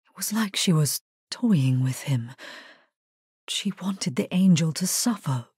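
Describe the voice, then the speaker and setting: A resolute female necromancer from a dark fantasy game. Her voice is fairly low and husky with breath in it, and she speaks gravely and with measure. The sound is dry and close. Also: expressive, takes it slowly, dry recording, full character voice. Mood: solemn, urgent. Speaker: video game voice actor. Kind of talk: dramatic scripted game dialogue.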